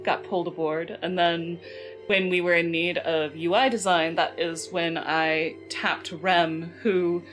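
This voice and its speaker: A woman with a slightly low voice talks as a guest on a casual panel. She tells anecdotes in a playful, jokey manner. There is light music underneath. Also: fairly even intonation, measured pace, fairly bright tone. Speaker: game writer, panel guest. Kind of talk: casual chat, telling anecdotes.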